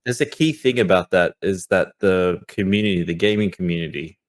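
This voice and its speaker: A man's voice: low voice, brisk. A man co-hosting a podcast, chatting casually. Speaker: podcast co-host.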